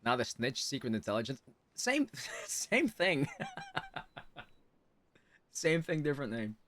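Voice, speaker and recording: A man giving casual, teasing reaction commentary as he streams a game, quick to exclaim. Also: medium pitch, big pitch swings, fairly bright tone.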